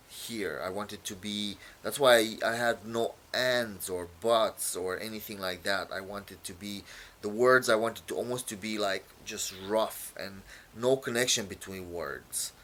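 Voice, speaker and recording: A man from Romania, whose first language is Romanian, explains his own poem in a halting way, full of fillers and repeated words. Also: on the low side, a bit bright. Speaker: poet.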